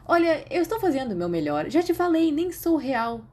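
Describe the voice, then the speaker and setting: A woman's voice: high-pitched, very animated, a little fast, a little mellow, pitched above the performer's own voice. A woman with a Brazilian Portuguese accent voicing a blunt, teasing imaginary teenage boy in an audiobook. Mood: exasperated. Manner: teasing, exasperated.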